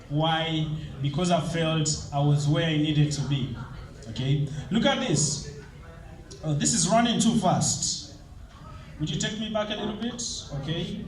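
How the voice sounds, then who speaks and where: A man from Uganda, presenting a motivational seminar and drilling the audience with call-and-response questions. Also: fairly high pitch. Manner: energetic, rousing, drills the crowd with repeated questions. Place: large hall with audience. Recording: echoey hall, distant mic, crowd noise.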